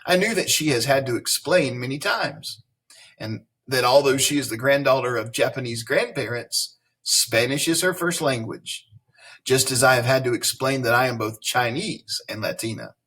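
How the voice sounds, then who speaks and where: A man with a husky, slightly breathy voice reads a children's picture book aloud. He stops often to explain the text and ask his young listeners questions. When he stumbles he goes back and rereads the line. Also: medium pitch, normal speed, a bit bright. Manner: stops to correct his own misreadings. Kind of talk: reading a picture book aloud with teaching asides.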